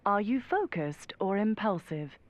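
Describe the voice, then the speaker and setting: An actress playing a poised, dryly amused spy handler who guides an agent through an interactive audio game. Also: female voice, mid-range voice, big pitch swings, measured pace, soft background music. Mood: composed. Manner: cool, composed, wry. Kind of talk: scripted interactive game narration.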